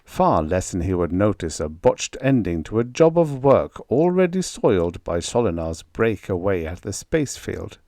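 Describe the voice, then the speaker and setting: A man reading a story aloud as an audiobook narrator, in a measured, expressive literary manner. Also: lowish voice, no room sound, studio quality.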